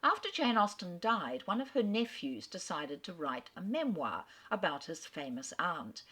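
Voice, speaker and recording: A woman with a fairly low voice gives a literary talk, unhurried and articulate. She tells history like a story and drops in the occasional small filler. She is from Australia. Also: very animated, a bit bright, breathy edge. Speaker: speaker on famous authors. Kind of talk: lecture-style literary talk.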